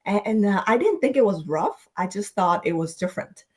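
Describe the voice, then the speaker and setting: A woman's voice: medium pitch, lively intonation, fairly quick, breathy edge. A woman from Vietnam, a podcast guest on a video call, telling her life story in a reflective way and laughing easily. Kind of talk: casual storytelling interview.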